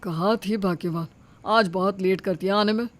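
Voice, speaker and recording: A woman's voice: mid-range voice, moderate pace, pitched below the performer's own voice, natural voice. Husband, acted by a woman, storybook cartoon: sleepy, demanding, impatient, middle register. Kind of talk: animated moral storybook acting.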